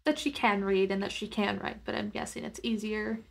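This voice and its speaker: A woman's voice: mid-range voice, little pitch movement, normal speed, gravelly, crowd noise. A woman playing a tabletop roleplaying game, chatting casually and describing what her character does.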